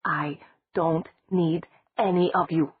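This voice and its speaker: A woman's voice: fairly low pitch, moderate pace, vocal fry, breathy edge, voice pushed up, heavily acted. A woman reading an audiobook, voicing a brooding young male vampire who talks in a cold, clipped, scornful way. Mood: furious. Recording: dry, tinny phone-like sound.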